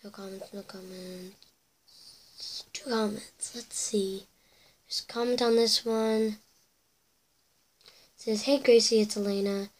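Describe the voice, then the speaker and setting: A woman's voice: medium pitch, fairly even intonation, slow pace, long pauses. A teenage girl chatting to her webcam in her bedroom, rambling through viewer comments with whispered asides, her voice a bit cracky from losing it. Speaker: YouTuber reading viewer comments. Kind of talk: casual chat to camera. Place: bedroom indoors.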